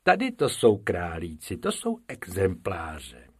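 Storybook zookeeper: a loud scoffing man, bossy and jolly, as a reader puts him on; husky, dry sound. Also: low-pitched, huge pitch swings, no room sound, exaggerated voice. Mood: mocking. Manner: loud, mocking, laughing. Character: jolly mocking male zookeeper, middle-aged.

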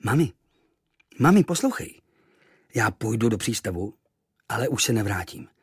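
A man voicing an excitable young boy, eager and brave, talking in quick earnest pleas. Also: on the low side, slightly rough, no room sound, clean studio sound, heavily acted. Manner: eager, breathless pleading.

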